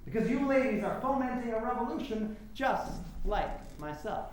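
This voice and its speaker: A man's voice: very high voice, animated, normal speed, darkish tone. Man acting pompous rich showman, swooping delivery, echoey hall. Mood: boastful, expansive. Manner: grandiose, oratorical, interrupting. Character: rich, pompous male political showman wooing suffragists. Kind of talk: staged play reading. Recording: very echoey hall, faint background noise.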